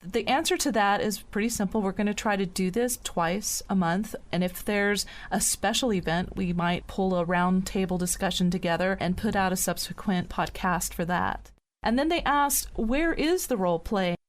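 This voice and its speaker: A woman's voice: mid-range voice, moderate pace. A woman hosting a podcast, moderating a segment of listener questions. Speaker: podcast host.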